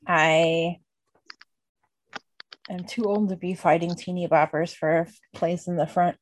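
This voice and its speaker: A woman's voice: fairly low pitch, fairly even intonation, unhurried, rough recording. Woman in her late thirties chatting. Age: 30s. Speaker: podcast co-host. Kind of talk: casual chat.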